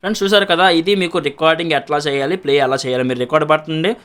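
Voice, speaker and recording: A man's voice: fairly high pitch, racing, a bit bright. A man with a Telugu accent gives a casual product demonstration as a reviewer and seller, explaining repetitively and mixing in English words.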